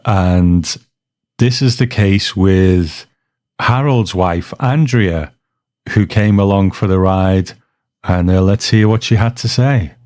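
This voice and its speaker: Low-pitched male podcast host, dry sound. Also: slow pace, darkish tone, no echo. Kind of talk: casual hosted commentary introducing listener reports.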